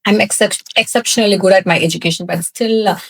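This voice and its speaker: A woman from South India whose first language is Tamil, telling her personal story as a guest in a podcast interview, candid, with frequent laughs and halting fillers. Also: medium pitch, steady tone, a bit bright. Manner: candid, laughs often, pauses with many fillers. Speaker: guest, cosmetics founder.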